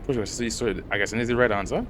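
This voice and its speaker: A man's voice: mid-range voice, rapid-fire, fairly bright tone, slight background noise. A man giving casual game commentary as a streamer, with informal, slangy reactions. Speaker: gaming streamer.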